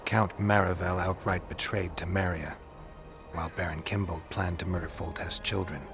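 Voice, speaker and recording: An actor playing a stoic monster hunter in a fantasy game, speaking tersely and deadpan in a gravelly voice. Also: male voice, sits low, moderate pace, over music. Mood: matter-of-fact. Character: gruff, stoic male monster hunter for hire. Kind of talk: video game dialogue acting.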